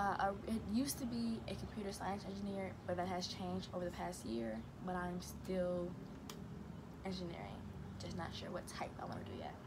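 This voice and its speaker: A young woman from Chicago chats through a casual get-to-know-me vlog. She talks quickly and stutters on some words, reading each question aloud before she answers. Her voice sits at a middle pitch, and steady room noise runs behind her. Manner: fast-talking with a stutter, reads questions aloud then answers. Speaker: vlogger. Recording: noisy room ambience.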